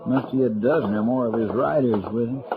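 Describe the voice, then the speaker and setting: An American actor playing a loyal, deferential marshal's sidekick in a radio western, with a folksy drawl. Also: male voice, medium pitch, brisk, close to an everyday voice. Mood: watchful. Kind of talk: old-time radio western acting. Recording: dull, very noisy old radio recording.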